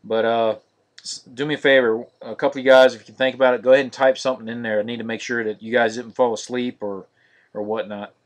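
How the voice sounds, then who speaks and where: A man hosting a live-streamed card break, naming each card in a steady, monotonous voice. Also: medium pitch, quick pace. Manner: monotonous, steady listing of cards.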